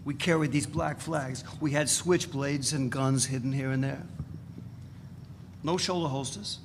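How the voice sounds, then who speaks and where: A man's voice: medium pitch, fairly even intonation, measured pace, lightly acted. A man playing a swaggering, unrepentant ex-radical reminiscing bluntly and profanely in a dramatic reading. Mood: swaggering nostalgia. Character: swaggering unrepentant male ex-radical reminiscing about guns and boots. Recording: noisy live reading in reverberant hall.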